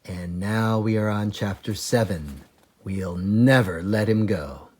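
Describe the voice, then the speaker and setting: A man reading a children's chapter book aloud for a school library, slow and expressive. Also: on the low side. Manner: slow, expressive, sing-song storytelling.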